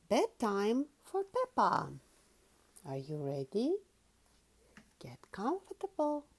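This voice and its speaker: A woman storyteller reads aloud for young children. Her voice is high and very lively in pitch, with a slow, sing-song delivery that spaces the phrases out carefully.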